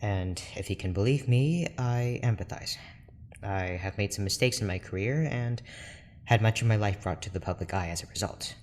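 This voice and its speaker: A man's voice: lowish voice, moderate pace, a little mellow, slight background noise, natural voice. An actor playing a weary, formal research doctor in an audio drama, choosing his words carefully and apologetically. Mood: weary, apologetic. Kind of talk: naturalistic audio drama acting.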